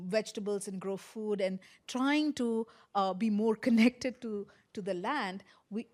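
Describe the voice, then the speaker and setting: Woman panelist talking about her work, halting, feeling for words, mid pitch. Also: darkish tone. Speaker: panelist, community environmental health worker. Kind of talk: spoken testimony at a panel.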